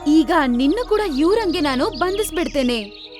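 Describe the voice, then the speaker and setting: A woman's voice: high-pitched, expressive, unhurried, over music. A woman voicing a commanding, magical queen of birds in a cartoon story. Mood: stern.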